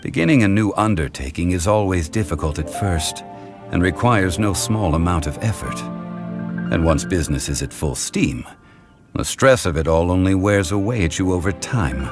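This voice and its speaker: A man voicing a calm, wise male consultant who speaks in a measured, formal way, in a game scene. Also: sits low. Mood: reflective. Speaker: consultant to a funeral parlor. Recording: game audio with music behind.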